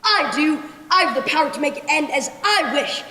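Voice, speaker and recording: Casting: girl as imperious, brooding sultan, high youthful female voice, formal stage command, echoing hall. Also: lively intonation, fairly quick, bright, forceful tone, full character voice. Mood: softened, resolute. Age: young teenager. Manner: formal, commanding theatrical delivery. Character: proud, brooding, imperious sultan who softens. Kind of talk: school stage play acting. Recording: echoey hall, faintly noisy stage recording.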